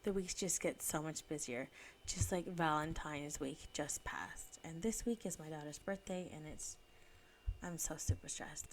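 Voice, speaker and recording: A woman in her thirties, a little sniffly from a cold, chatting casually and laughing now and then as she narrates. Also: on the low side. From United Kingdom. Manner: chatty, laughs often, self-deprecating. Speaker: planner vlogger.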